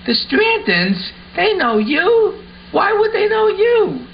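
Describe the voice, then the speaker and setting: A man's voice: very high voice, big pitch swings, slow pace, gravelly, full character voice. A man playing a skeptical, questioning young boy, a teasing best buddy in an amateur puppet show. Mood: disbelieving. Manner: incredulous, questioning. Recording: muffled audio with faint background noise.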